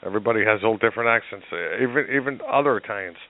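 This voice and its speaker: Brief: deep-voiced guy with a New Jersey accent, chatty storyteller, heard over a tinny phone line. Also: rapid-fire. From Newark, New Jersey. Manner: animated storyteller, many restarts and laughs. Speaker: guest. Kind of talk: casual chat.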